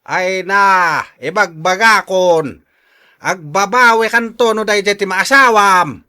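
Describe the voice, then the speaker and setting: Fretful Ilocano father, sharp high voice. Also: slow, clean tone, heavily acted. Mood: scolding. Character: fretful older father, nagging and protective of his son. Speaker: radio drama actor. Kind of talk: melodramatic radio drama acting.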